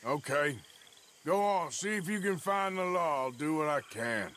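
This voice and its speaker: An actor playing a blunt, folksy cowboy gunslinger with an American accent in a western video game. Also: male voice, a little high, animated, unhurried, fairly bright tone, a little hiss or hum. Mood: steady, reassuring. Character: gruff, steady male cowboy gunslinger.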